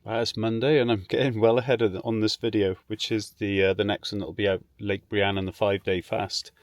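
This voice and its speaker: A British man with a fairly low voice chats off the cuff for a vlog. He is informal and sweary, rambling along conversationally over clearly audible background noise. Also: little pitch movement, brisk. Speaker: vlogger. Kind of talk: casual rambling vlog chat.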